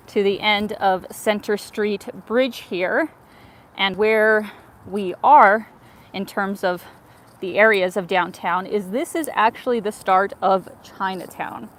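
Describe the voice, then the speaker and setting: Thirties woman, Ukraine-born vlogger; grateful, chatty, phone-held walking livestream, faint street noise behind. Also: medium pitch. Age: 30s. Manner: warm, grateful, chatty with frequent fillers. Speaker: travel vlogger. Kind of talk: casual livestream chat, reading viewer messages. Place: outdoors on a city bridge in winter.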